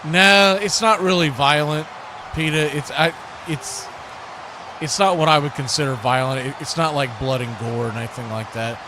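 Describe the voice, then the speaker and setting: A man's voice: a little high, normal speed, noisy background. A man hosting a live football stream, mixing play-by-play commentary with casual chat in folksy, colloquial phrasing.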